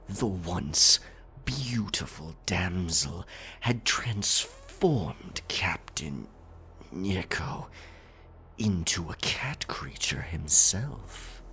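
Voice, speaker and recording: A man playing a dominant, predatory werecat pirate captain with a stage pirate accent, telling a scary story in a theatrical, gravelly ASMR roleplay style. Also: deep voice, bright, forceful tone, exaggerated voice. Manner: slow, theatrical, breathy whispering that swells into growled taunts.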